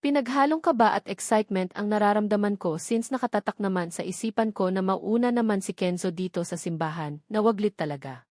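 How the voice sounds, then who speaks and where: A woman's voice: mid-range voice, fairly quick, a little mellow, dry recording, studio quality. A woman with a Filipino accent reading a dramatic first-person novel as an audiobook narrator.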